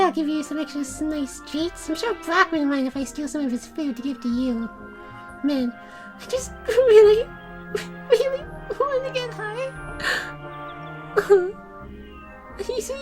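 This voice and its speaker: A woman's voice: extremely high pitch, animated, unhurried, pauses often, music in the background. A woman voicing a cheeky, flustered cartoon girl with a foul mouth, hesitant and rambling, in an improvised parody dub. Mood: wheedling.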